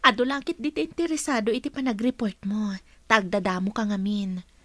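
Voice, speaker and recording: A woman's voice: medium pitch, fairly even intonation, brisk, pushed and bright, dry recording. An actress playing a businesslike but supportive senior female office colleague in a Filipino radio drama, instructive and matter-of-fact. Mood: cautioning, supportive.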